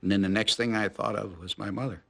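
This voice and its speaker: An elderly man, a war veteran, recollecting in an interview in a halting, plain-spoken way. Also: lowish voice, steady tone, creaky, fairly bright tone.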